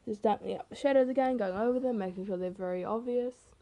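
A teenage girl with a rough, hoarse voice, casually narrating her own speed painting in a chatty, self-deprecating way. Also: medium pitch, fast, dull audio. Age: teens. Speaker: art vlogger. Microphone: camera mic.

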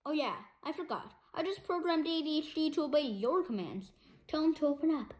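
Woman as an encouraging, animated cartoon cat. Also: high-pitched, brisk, a little hiss or hum. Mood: instructing.

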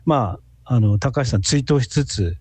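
A man's voice: mid-range voice, lively intonation, normal speed, a little mellow, breathy edge, no room sound. A man in his sixties chatting casually as a radio guest over an online call. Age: 60s. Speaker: guest archaeologist. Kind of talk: casual interview chat.

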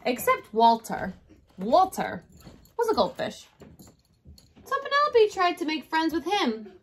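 A woman's voice: very high-pitched, huge pitch swings, a little slow, a little hiss or hum. A woman in her thirties, a kindergarten teacher reading a story aloud and talking directly to young children with check-in questions. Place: small decorated indoor room.

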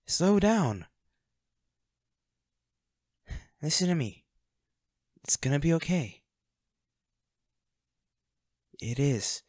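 A man playing a devoted, protective bodyguard with a dark past in an intimate audio roleplay, speaking earnestly and gravely. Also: medium pitch, no room sound, clean studio sound. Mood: urgent, reassuring.

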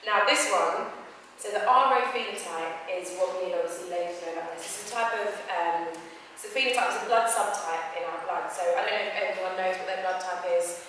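A woman's voice: fairly low pitch, fairly quick. Woman moderator in an echoey hall. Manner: chatty, reads out statistics. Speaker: panel moderator. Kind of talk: moderating a panel discussion. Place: large hall with audience. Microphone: far room camera. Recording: echoey hall from far off, noisy.

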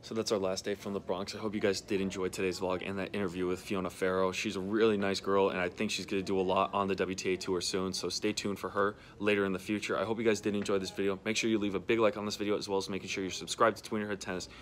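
A man's voice: sits low, fast, hardly any pauses, music in the background. A young man hosting a tennis vlog, asking a player casual, friendly questions and then signing off to viewers in a press room. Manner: relaxed, friendly questions with frequent "kinda" and "mm-hmm". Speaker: tennis vlogger and interviewer. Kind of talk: casual interview and vlog sign-off.

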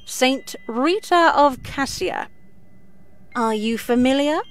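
An older actress playing a stern, pious, sinister nun in a visual novel game, with a measured, sanctimonious and condescending manner. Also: female voice, high voice, expressive, faint music behind. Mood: grave, measured.

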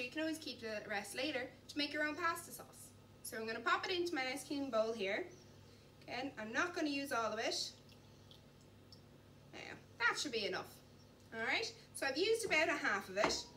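A young woman with a bright, forceful voice talks viewers through a recipe in a chatty, encouraging way, keeping up the talk while she chops and mixes. She sounds a little high for a woman. She is recorded from across a large kitchen, with faint noise underneath. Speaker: cooking instructor. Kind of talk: cooking demonstration. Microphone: camera at a distance. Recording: distant mic, faint background noise.

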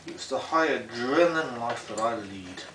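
Male British vlogger for casual unboxing: animated, jokey, self-muttering; distant mic, heavy noise. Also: mid-range voice, normal speed. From United Kingdom. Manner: jokey, muttering to himself as he works. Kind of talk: casual unboxing and assembly commentary. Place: indoors in a concrete-floored garage workshop. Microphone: camera mic a short distance away. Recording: roomy, distant mic, very noisy with clicks.

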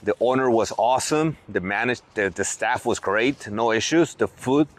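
A man's voice: mid-range voice, normal speed. A man hosting a casual food vlog from a restaurant table, easygoing and repeating words as he thinks aloud. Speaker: vlogger host.

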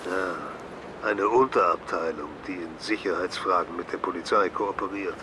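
Radio play police superior, male actor: low, weary, measured, sardonic, noisy outdoor scene. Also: close to an everyday voice. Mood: weary, dry. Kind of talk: German radio drama acting.

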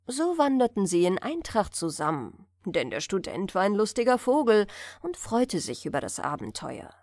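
A woman's voice: mid-range voice, very animated, normal speed, a little mellow, no room sound. A woman narrating a bedtime fairy tale as an audiobook reader, close to the microphone.

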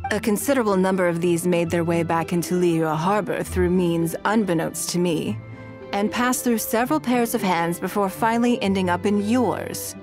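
A woman voicing a calm, shrewd, wealthy noblewoman in a game, speaking in a measured, commanding way, with music behind her. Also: mid-range voice, lightly acted. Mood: cool. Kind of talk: game dialogue voice acting.

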